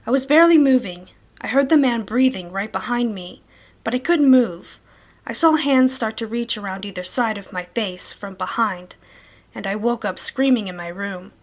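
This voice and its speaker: A woman playing a troubled woman who gives a measured first-person diary account of night terrors in a horror story. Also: a little high, close to an everyday voice. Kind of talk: scripted horror story narration.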